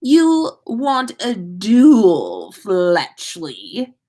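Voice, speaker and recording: Sneering rich schoolboy, played by a woman, patronizing drawl, drawn-out words, storybook villain. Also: mid-range voice, huge pitch swings, dark tone, full character voice. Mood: challenging. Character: sneering, spoiled teenage schoolboy bully. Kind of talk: storybook character reading.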